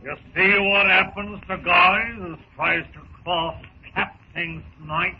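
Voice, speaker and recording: An actor playing a bullying pirate captain in a 1930s radio serial, with rough, slangy, menacing speech. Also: male voice, high-pitched, fairly even intonation, takes it slowly. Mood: menacing, gloating. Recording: scratchy, dull 1930s radio recording.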